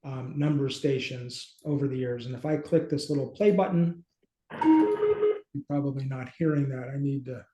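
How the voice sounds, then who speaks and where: A middle-aged man hosting a casual screen-share walkthrough, speaking haltingly as he thinks aloud. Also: mid-range voice, big pitch swings, mellow. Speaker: host and app company team member. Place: small indoor room, video call. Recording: roomy, echoey home room.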